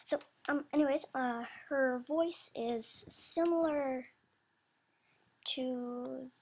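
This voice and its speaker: A young woman with a high, youthful, slightly childish voice talks casually and off the cuff. She speaks slowly, rambling and hesitating as she searches for the right words. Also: darkish tone, a little hiss or hum. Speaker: video creator announcing animation voice auditions. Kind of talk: casual unscripted chat.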